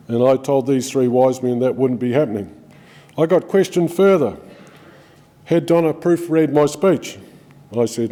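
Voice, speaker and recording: A man's voice: mid-range voice, mellow. A middle-aged man with a New Zealand accent giving an informal eulogy for a friend, telling stories with plenty of ums and restarts. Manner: chatty storytelling with frequent ums and restarts. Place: funeral service hall. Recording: long hall reverb.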